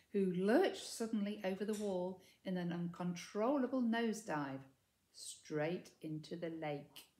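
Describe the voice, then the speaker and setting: A woman's voice: on the low side, warm tone, a little hiss or hum. A woman in her sixties reading a children's story expressively, recorded indoors at a short distance from the camera. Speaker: storybook reader. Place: indoors, living room by a window. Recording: echoey room.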